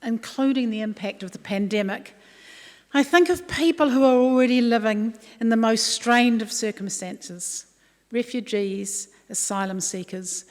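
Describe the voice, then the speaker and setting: A woman giving a formal prepared speech in a deliberate, earnest way. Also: medium pitch, a little mellow. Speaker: commission chairperson, guest speaker. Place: large indoor hall, public address. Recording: echoey hall, long reverb.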